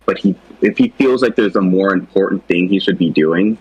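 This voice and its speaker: A man's voice: deep voice, a little fast, slightly rough, dry recording, muffled recording. A man chatting casually as a co-host, talking through the characters of a series. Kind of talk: casual chat.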